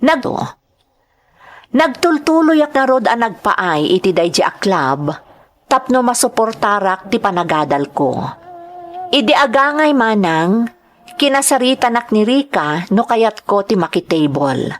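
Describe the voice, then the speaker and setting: A woman's voice: fairly high pitch, big pitch swings, a little slow. A woman with a Filipino accent playing an earnest young nightclub entertainer, telling her story expressively in a radio-style drama. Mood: earnest confiding.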